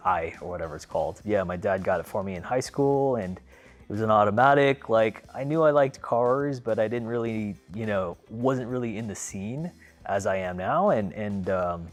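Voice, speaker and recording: Laid-back man with a low voice. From Philippines, raised in Los Angeles. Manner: relaxed, rambling, frequent fillers and restarts. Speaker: car owner. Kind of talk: casual storytelling to camera.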